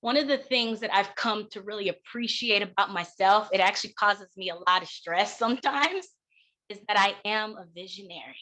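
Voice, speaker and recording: A woman speaks as a keynote presenter at a middle pitch, with a bright, forceful tone. She is deliberate and emphatic, setting key words apart with dramatic pauses. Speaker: keynote speaker, counseling clinician. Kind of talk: keynote speech.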